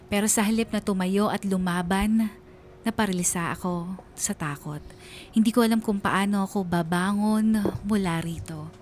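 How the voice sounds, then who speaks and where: A woman's voice: mid-range voice, a little hiss or hum, close to an everyday voice. A woman playing a weary, anguished letter writer, narrating a scripted radio drama in a confessional, sorrowful manner.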